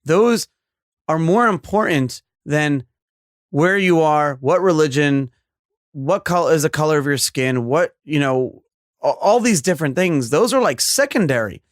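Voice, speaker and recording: A man's voice: fairly high pitch, expressive, measured pace, no echo, studio quality. Emphatic New man hosting. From New York. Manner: earnest, emphatic, rambling with repeated phrases. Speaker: podcast host. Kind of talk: casual interview chat.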